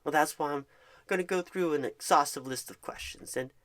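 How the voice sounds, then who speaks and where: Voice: medium pitch, brisk, a bit bright. A speaker in an ASMR roleplay as an upbeat, encouraging job recruiter on a phone screening, talking in a wordy, reassuring way with pet names. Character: happy, eager, encouraging job recruiter and career coach. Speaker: ASMR performer playing a job recruiter and career coach.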